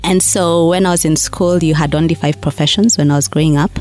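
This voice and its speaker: A young Kenyan woman with a Kenyan accent, a guest telling her story in a lively, chatty interview, often repeating phrases and laughing. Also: deep voice, a little fast. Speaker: guest, pharmacist and performance coach. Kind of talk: casual interview chat.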